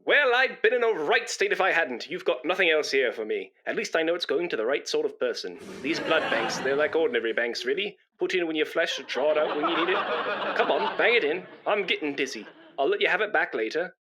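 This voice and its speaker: A man's voice: a little high, expressive, fairly quick, background noise, natural voice. A man acting a self-important, complaining hospital patient in a radio comedy. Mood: boastful chatter. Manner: pompous, complaining.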